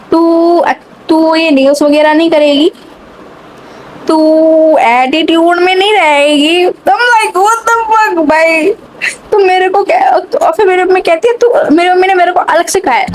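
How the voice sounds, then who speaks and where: Young woman, high voice, sarcastic rambling vlogger, mixed online-picked English accent, light background noise. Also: a little mellow. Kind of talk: casual rant to camera.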